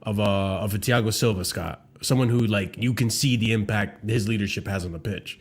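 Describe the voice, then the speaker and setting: A man's voice: on the low side, a little fast, breathy edge. A man in his thirties co-hosting a casual football chat, who weighs his points and corrects himself as he talks. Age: 30s. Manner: thoughtful, hedging, self-correcting. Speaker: podcast co-host.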